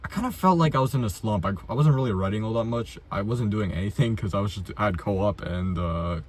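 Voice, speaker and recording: A man's voice: on the low side, expressive, a little fast. A young adult man chatting casually and unscripted as a video host, blunt, self-deprecating and full of slang and swearing. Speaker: amateur filmmaker, video host.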